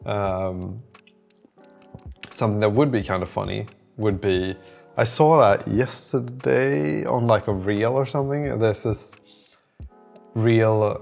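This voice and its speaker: A man with a fairly low voice records a casual developer vlog. He thinks aloud, rambling and hesitating as he goes, with faint music in the background. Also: fairly even intonation, slow pace, vocal fry, darkish tone. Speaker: app developer, vlog host.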